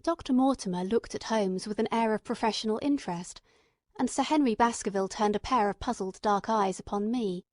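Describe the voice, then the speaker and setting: A woman reading scripted literary narration as an audiobook narrator, in a measured, deliberate manner. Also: mid-range voice, darkish tone, dry recording, studio quality.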